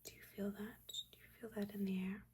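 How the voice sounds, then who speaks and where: A woman doing a soft-spoken, breathy, mostly whispered ASMR roleplay as a stern, disappointed witch mentor, with a halting, scolding manner. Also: mid-range voice, level tone. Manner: scolding, halting, dryly exasperated. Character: stern, disappointed witch mentor, nagging but secretly caring. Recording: audible background ambience noise.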